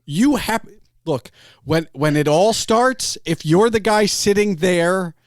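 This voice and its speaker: A man chatting casually as a podcast host, telling anecdotes in an animated way and acting out imagined quotes. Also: high-pitched, takes it slowly, dry recording, studio quality.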